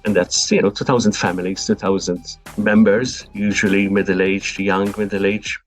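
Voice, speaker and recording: A man's voice: on the low side, steady tone, normal speed, faint music behind. A man speaking as an academic expert, giving explanatory answers in an interview. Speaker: expert interviewee, professor and clinical advisor.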